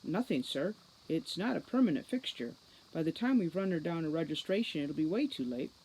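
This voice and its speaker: A woman's voice: sits low, lively intonation, a little fast, mellow, slightly rough, heavily acted, rough recording. A woman reading a cheeky, smug male centaur tech expert in a storybook, glib and flippant in manner. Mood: matter-of-fact.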